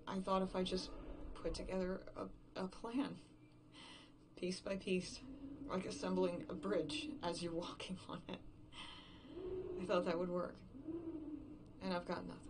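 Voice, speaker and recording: A woman acting an exhausted, frightened survivor in a horror audio drama monologue, haltingly thinking aloud and repeating herself. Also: lowish voice, noisy background. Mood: defeated. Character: exhausted, frightened woman game writer, alone after everyone vanished.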